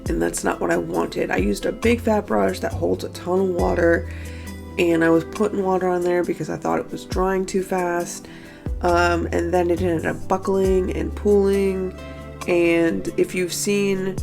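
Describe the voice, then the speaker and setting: A young woman with a hoarse, stuffy morning voice, chatting casually and self-critically about her own paintings in an art vlog. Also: on the low side, a little mellow. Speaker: art vlogger. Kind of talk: casual talking-head recap.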